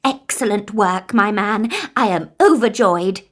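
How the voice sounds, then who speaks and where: A woman's voice: medium pitch, measured pace, fairly bright tone. A woman voicing a vain, imperious wicked queen in a children's storybook tale, with a commanding, haughty manner. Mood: gloating.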